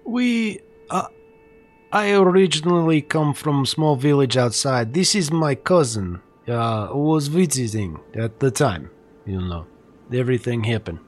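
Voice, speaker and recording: A man's voice: mid-range voice, animated, measured pace. A man doing a boastful broken-English village mechanic. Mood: eager.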